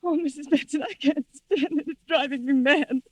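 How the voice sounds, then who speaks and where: A woman playing a frightened, haunted wife in a 1940s radio drama, speaking in a breathless, halting, melodramatic way. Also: high-pitched, a little mellow, close to an everyday voice. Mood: distraught. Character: frightened married woman haunted by a recurring nightmare. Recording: old 1940s radio recording.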